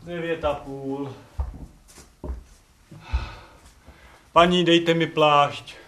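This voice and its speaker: Clever, impudent slave for stage comedy, played by a man; higher male voice, pleading servility, distant noisy recording. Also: steady tone, fairly bright tone, roomy sound, natural voice. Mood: weary bargaining. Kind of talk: live comic stage acting. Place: theatre stage, recorded from far off.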